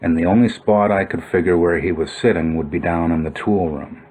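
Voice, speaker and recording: Male narrator, deep pitch, informal explainer commentary, musing, thinking aloud. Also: fairly quick, darkish tone, slightly rough, poor audio. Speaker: video narrator. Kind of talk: informal explanatory commentary over old photos.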